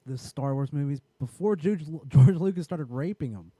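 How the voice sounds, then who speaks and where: A man with a raspy voice in casual, profane podcast chat, rambling and often restarting his sentences. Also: on the high side, moderate pace, warm tone, dry recording. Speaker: podcast co-host.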